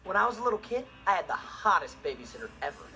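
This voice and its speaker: A man's voice: high voice, very animated, racing, poor audio. A young male actor playing a laid-back, irresponsible teenage stepbrother, telling stories with offhand comic delivery in a TV sitcom. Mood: wistful. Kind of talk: scripted comic monologue in a TV sitcom. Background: loud music and laughter.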